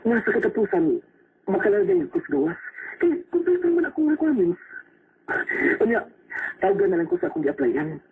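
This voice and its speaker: A man's voice: high voice, sing-song, a little fast, long pauses, a little mellow. A man playing an anxious husband in a radio drama, earnest and dramatic. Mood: flustered. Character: anxious husband confessing his troubles. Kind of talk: Philippine radio drama acting in Cebuano. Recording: noisy radio broadcast recording.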